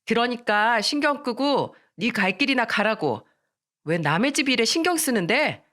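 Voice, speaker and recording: A woman's voice: medium pitch, lively intonation, normal speed, fairly bright tone. A woman voicing a touchy, defiant teenage girl in a dramatized story, with a curt, confrontational way of talking. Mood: angry, defensive.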